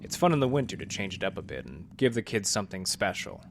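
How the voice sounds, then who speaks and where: An actor playing a wry, hardboiled library detective in a noir radio drama, with dry, clipped delivery and first-person narration. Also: male voice, fairly low pitch, sing-song, quick pace, slight background noise. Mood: dry, kindly.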